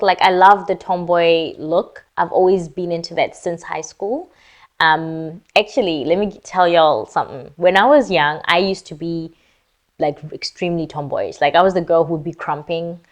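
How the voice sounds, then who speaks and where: Chatty woman from lesotho, lowish voice, playful casual q&a vlog style. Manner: chatty and playful, girly slang asides. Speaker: vlogger. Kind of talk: casual Q&A chat to camera.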